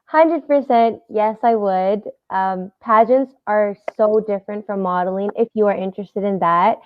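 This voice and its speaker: A woman's voice: medium pitch, normal speed, phone-quality sound, slight background noise. A young woman, a pageant titleholder, answering interview questions in an earnest, casual way with plenty of fillers. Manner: earnest, many fillers, easy laughs.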